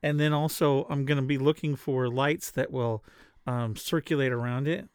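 A man's voice: mid-range voice, fairly even intonation, normal speed, clear, resonant tone, dry recording, clean studio sound. A man in his late forties chatting casually with his wife at home, with wry, grumbling, self-mocking remarks. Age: 40s. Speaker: podcast co-host. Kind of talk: casual chat with spouse.

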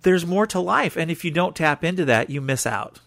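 A man's voice: on the high side, expressive, a little fast, gravelly, a bit bright, dry recording, studio quality. A man hosting a faith podcast, interviewing a guest in a playful, joking way. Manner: playful, jokey, interjects often. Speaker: podcast host. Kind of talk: casual interview.

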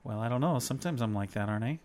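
A man's voice: medium pitch, very fast, darkish tone. A man chatting with his wife on a faith talk show, playful and rambling as he counts off points like a teacher. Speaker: co-host, devotional talk.

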